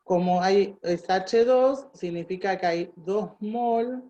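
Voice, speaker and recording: A woman's voice: medium pitch, takes it slowly, dark tone, a little hiss or hum. A woman tutoring chemistry, patiently working through calculations step by step and thinking aloud. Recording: dull lo-fi sound.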